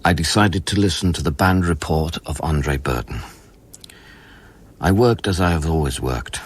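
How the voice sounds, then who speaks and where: An actor playing a haunted, weary scientist who narrates his memories in a measured, introspective radio play style. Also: extremely low pitch, slightly rough. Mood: somber, reflective. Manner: brooding, measured, literary.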